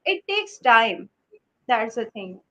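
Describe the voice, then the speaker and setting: High-pitched woman. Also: very animated, slow, pushed and bright. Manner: hesitant, many uh fillers. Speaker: host. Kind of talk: live stream interview.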